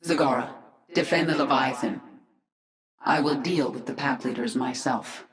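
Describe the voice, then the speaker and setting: A woman's voice: a bass voice, moderate pace. An actress playing a powerful reborn alien swarm queen, cool and commanding. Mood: confident. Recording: roomy echo.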